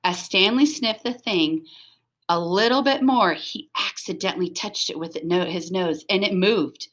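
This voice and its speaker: A woman's voice: mid-range voice, moderate pace, a bit bright. A woman reading a children's story aloud as scripted narration, expressively, with dramatic emphasis. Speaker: storybook reader.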